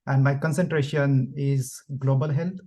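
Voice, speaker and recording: A man from India speaks as a graduate student on an online panel. He is courteous and keeps thanking his hosts. His voice sits in an ordinary middle pitch. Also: fairly even intonation, normal speed, warm tone. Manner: polite, thanks people repeatedly. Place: video call.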